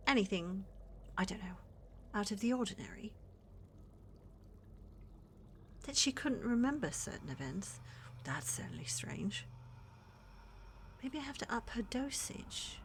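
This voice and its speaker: Woman acting a flirty, scheming witch, very lively. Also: medium pitch, normal speed, long pauses, slight background noise, lightly acted. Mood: probing, suspicious. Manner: teasing, coaxing, sugary endearments with theatrical menace. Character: flirty, scheming witch who runs a potion shop. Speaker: voice actor in audio roleplay. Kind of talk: cinematic ASMR fantasy roleplay.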